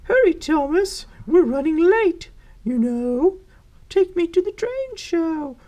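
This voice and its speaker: A woman voicing an impatient, hurried lady judge from a children's storybook in an urgent, exclamatory way. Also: very high-pitched, big pitch swings, warm tone, pitched above the performer's own voice, exaggerated voice.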